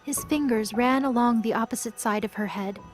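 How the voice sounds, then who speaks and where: A woman's voice: a little high, normal speed. A woman narrating a scripted audio drama as a storyteller. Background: music.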